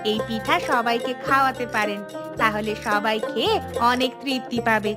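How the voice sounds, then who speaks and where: Woman as a high-voiced, earnest village girl. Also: steady tone, normal speed, natural voice. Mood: earnest, humble. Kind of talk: Bengali cartoon family story dubbing. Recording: music loud behind the voice.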